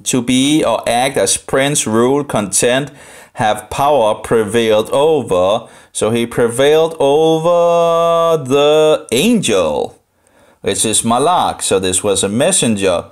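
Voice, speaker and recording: A Danish man with a Danish accent thinks aloud through informal Bible commentary, halting and rambling as he repeats phrases. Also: mid-range voice. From Denmark. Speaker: live streamer studying the Bible.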